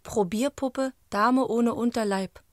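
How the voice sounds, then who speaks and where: Wry, high-voiced narrator. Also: female voice, slow pace, no echo, clean studio sound. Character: female co-narrator, wry, laconic commenting observer. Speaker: second narrator. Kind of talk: scripted radio drama narration.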